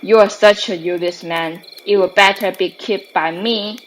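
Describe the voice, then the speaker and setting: Scornful fairy queen played by a woman, mid-pitched. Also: steady tone, moderate pace, slight background noise, natural voice. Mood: scornful defiance. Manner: defiant, contemptuous. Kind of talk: amateur radio drama acting.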